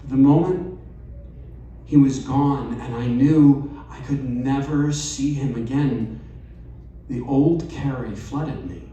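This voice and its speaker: Slow man reading in a roomy hall. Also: mid-range voice, warm tone, far from the mic, muffled recording, slight background noise. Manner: slow, measured delivery with wry asides. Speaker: reader at a live storytelling event. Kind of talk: reading a personal essay aloud. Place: bar or event venue, live audience. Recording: very echoey room, voice off-mic, faint ambience.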